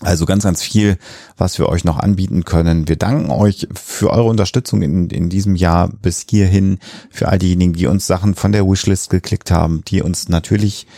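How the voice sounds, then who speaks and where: A man's voice: deep voice, fairly even intonation, brisk, vocal fry, mellow, dry recording, studio quality. A man talking casually as a podcast host.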